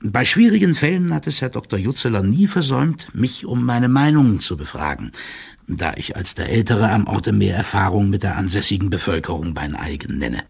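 Casting: an older deferential official, creaky low voice, officious and measured, reading a formal report for an audio story. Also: male voice, lively intonation, heavily acted. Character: older deferential male court clerk reporting confidentially, formal, loyal. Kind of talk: dramatised first-person reading of a formal written report.

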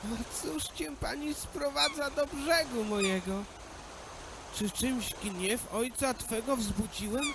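A woman's voice: fairly high pitch, slow pace. A woman playing a humble, earnest young fisherman in a folk fairy-tale radio play, with old-fashioned exclamatory speech. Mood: humble, surprised. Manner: archaic, exclamatory storybook speech. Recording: loud music and noise behind the voice.

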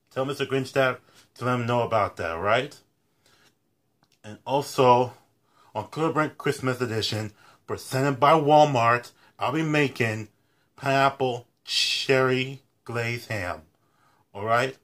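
A man's voice: medium pitch, a little slow, a bit bright. A young man hosting a home cooking show, making a casual announcement to the camera from his kitchen, leaning on repeated catchphrases. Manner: repetitive, emphatic catchphrases. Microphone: built-in camera mic at close range.

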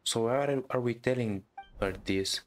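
A man reading game dialogue aloud during a playthrough, understated and even. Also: mid-range voice, moderate pace. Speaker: gaming YouTuber.

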